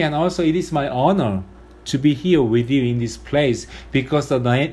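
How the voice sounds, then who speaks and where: A man with a strong Korean accent, a pastor giving an earnest, measured sermon, his first Sunday with a new congregation. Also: medium pitch, darkish tone.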